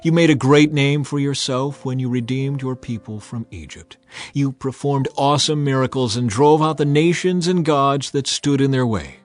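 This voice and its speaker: A man acts out a humble, devout king in prayer for an audio Bible drama. He declaims earnestly in a mid-pitched voice, full of reverent awe, with music playing behind him. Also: normal speed, lightly acted. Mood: fervent praise. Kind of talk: dramatized scripture reading.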